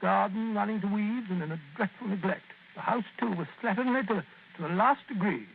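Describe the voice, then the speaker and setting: An actor playing an amiable, stammering doctor companion in a 1940s radio drama. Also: male voice, high-pitched, a little fast, vocal fry, a little hiss or hum. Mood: recounting. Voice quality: stammering, genial. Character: amiable, bumbling male doctor companion, middle-aged. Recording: tinny lo-fi old radio recording.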